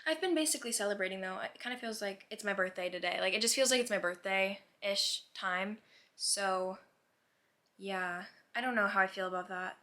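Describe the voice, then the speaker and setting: A woman's voice: medium pitch, normal speed, pushed and bright. A young woman of about eighteen in a casual, rambling, reflective chat to viewers, musing and questioning herself with lots of "like". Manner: musing, self-questioning, heavy use of "like". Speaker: vlogger.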